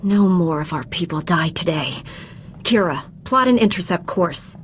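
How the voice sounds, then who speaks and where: A woman's voice: lowish voice, animated, measured pace. Casting: heroic young female Jedi knight, formal and earnest, game cutscene, faint ambient noise behind. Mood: determined. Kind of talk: video game cutscene acting.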